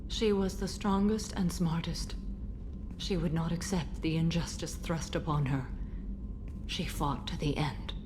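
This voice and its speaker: A woman's voice: low voice, fairly even intonation, unhurried, background noise. A woman playing a stern, sorrowful, centuries-old alien warrior mother in a video game, speaking in a solemn, formal way. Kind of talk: video game character acting. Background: game music.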